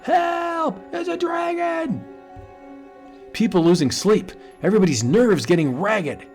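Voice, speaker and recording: A man's voice: a little high, big pitch swings, moderate pace, darkish tone, soft background music, voice pushed up. A man voicing a brash young male police detective in an old cartoon, breezy and wisecracking. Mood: puzzled.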